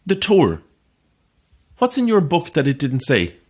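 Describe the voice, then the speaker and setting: A man's voice: fairly high pitch, huge pitch swings, unhurried, full character voice. A man playing a sceptical, grumbling holidaymaker in a naturalistic audio horror drama, with a dry, terse, questioning manner. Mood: dry, curious. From Ireland.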